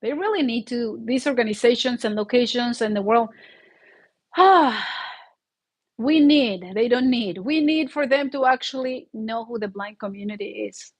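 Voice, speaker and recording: A woman's voice: fairly high pitch, unhurried. Earnest Mexican-accented woman with a flu. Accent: Mexican Spanish. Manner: earnest, heartfelt, laughs often mid-sentence. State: sick with flu, sore throat. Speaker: YouTube channel host. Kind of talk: casual livestream monologue.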